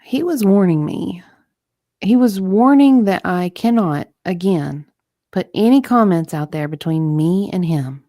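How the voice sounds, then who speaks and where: A woman's voice: fairly low pitch, lively intonation, unhurried, mellow, no room sound, clean studio sound. A woman reading the part of an aggrieved, intimidated journalist giving hesitant testimony as a witness in a deposition. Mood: explaining, uneasy. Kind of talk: dramatic reading of a legal deposition transcript.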